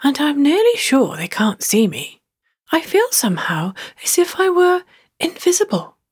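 A woman reading as a curious little girl in a storybook, hushed and full of wonder. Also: sits high, huge pitch swings, unhurried, pitched above the performer's own voice, heavily acted.

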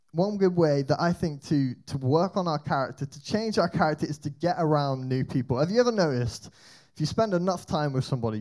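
A young man giving a lively, conversational youth talk, telling stories and asking rhetorical questions. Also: a little high. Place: church hall with band.

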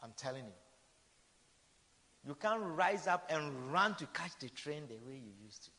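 A man in his fifties preaching with a high voice that leaps up and down in pitch. He repeats his points, peppers them with hallelujahs, and moves from loud proclamations to hushed asides. His voice rings with the long reverb of a big church hall. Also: animated, a little slow. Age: 50s. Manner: preaching with repeated refrains, frequent hallelujahs, swinging from loud to soft. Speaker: pastor. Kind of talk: sermon.

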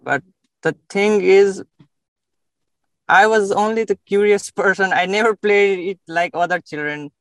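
A young man from Nepal, speaking in a casual interview, often pausing and searching for words with many fillers. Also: sits high, a bit bright, no room sound, low-quality recording.

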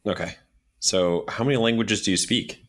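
Very deep-voiced man co-hosting. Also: moderate pace, a bit bright. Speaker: podcast co-host. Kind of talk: casual interview.

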